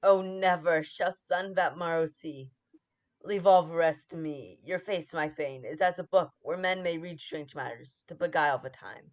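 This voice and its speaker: A woman playing a ruthless, scheming noble lady who drives her husband on, in 1940s radio-play style, with urgent forceful delivery. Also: low-pitched, normal speed, natural voice. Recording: dull lo-fi sound.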